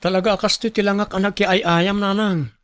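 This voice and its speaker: A man's voice: sits high, fairly even intonation, brisk, a bit bright, dry recording. A man playing a young son with an Ilocano accent in a radio drama, pleading and protesting. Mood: defensive.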